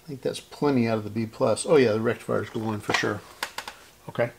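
A man with a fairly low voice full of heavy vocal fry hosts a hands-on repair video. He speaks casually and methodically, thinking aloud as he goes through each step. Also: brisk, a little hiss or hum. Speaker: host of a radio repair channel.

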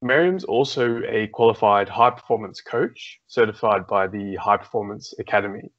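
A man's voice: lowish voice, moderate pace, fairly bright tone. A young man hosting an interview show, introducing his guest and asking questions in a friendly way over a video call. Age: young adult. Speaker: podcast host. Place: small room indoors. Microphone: video call with earphones. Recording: muffled video call audio.

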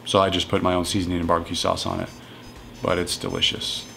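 A man in his thirties casually walking through home meals in his kitchen, relaxed and matter-of-fact. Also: low voice, fast talker, gravelly, faint music behind. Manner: relaxed and matter-of-fact, plain step-by-step talk. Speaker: strength coach cooking at home. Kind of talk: casual cooking walkthrough.

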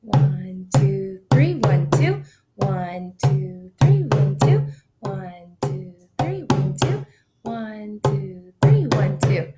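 A woman's voice: mid-range voice, very animated, very slow, over loud music. A woman in her thirties teaching an online class, counting beats and reading a picture book aloud in an upbeat way, heard through a webcam in a small room. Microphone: webcam on video call.

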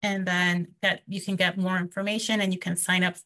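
A woman's voice: on the low side, flat delivery, a little fast, bright, forceful tone. A woman presenting a webinar over a video call, explaining programs slide by slide with frequent ums. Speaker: presenter from a financial nonprofit.

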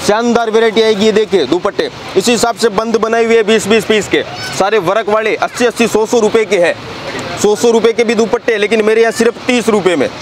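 A young shopkeeper with a high, light voice rattles off fabrics, cuts and prices like a practiced salesman. He talks into a lapel mic on a warehouse floor. Heavy crowd chatter hums behind him. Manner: brisk salesman's patter, listing items and prices. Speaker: shop owner. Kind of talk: sales tour of a fabric shop. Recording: crowd noise in a busy warehouse.

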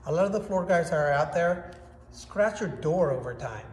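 A man's voice: a little high, lively intonation, normal speed. A man in his thirties giving an upbeat, casual product review to a phone camera in a workshop. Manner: upbeat, enthusiastic, demonstrating with hands. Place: indoor workshop with hard walls. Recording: echoey room, faint background noise.

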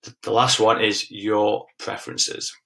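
A man in his thirties with a lowish voice and a noticeable creak presents as a fitness coach. He teaches informally and directly, leaning on repeated key phrases to drive each point home. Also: little pitch movement, unhurried, pushed and bright, low-quality recording. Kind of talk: informal webinar lesson with slides.

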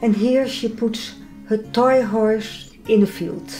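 An older woman from the Netherlands with a Dutch accent, calmly explaining her own paintings in her studio. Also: on the high side, faint music behind, rough recording. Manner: calm, measured, slightly non-native phrasing. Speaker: artist. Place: home art studio, indoors.

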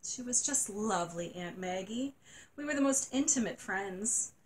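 A woman's voice: medium pitch, lively intonation, normal speed, a little hiss or hum, natural voice, poor audio. A woman voicing a tearful, gushing nine-year-old girl in a storybook reading. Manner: sobbing, breathless and gushing.

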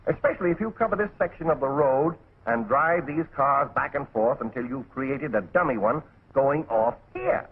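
A man voicing a brisk, bossy, wisecracking cartoon animal who gives folksy instruction in a 1940s training film. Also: medium pitch, expressive, slightly rough. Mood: instructive. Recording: muffled, tinny 1940s film soundtrack.

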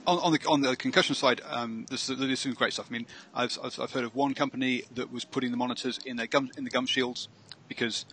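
Male host on a headset call, bright voice, halting and self-correcting. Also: medium pitch, steady tone, slightly rough. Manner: hesitant, many ums and self-corrections. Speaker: show host. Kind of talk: casual panel chat. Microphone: headset on a video call.

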